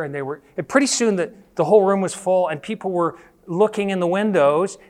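A man voicing a flustered woman Bible teacher who talks in a breathless, excitable way. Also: sits high, pitched above the performer's own voice.

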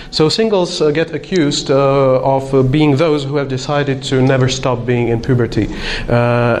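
A man's voice: mid-range voice, a little hiss or hum. A man from Slovakia, giving a conference talk with frequent hesitations and light self-deprecating humor. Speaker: conference speaker.

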